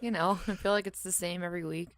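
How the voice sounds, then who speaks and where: A woman's voice: on the low side, steady tone, fast, vocal fry, no echo. A woman in her twenties co-hosting a podcast with blunt, sarcastic, profane banter. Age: 20s. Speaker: podcast co-host. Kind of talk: casual banter.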